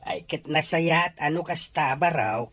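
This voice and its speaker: A man playing a gentle, wise middle-aged father counselling his son in an audio drama, speaking deliberately. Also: fairly high pitch, lightly acted. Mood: tender advice. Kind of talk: scripted audio drama dialogue.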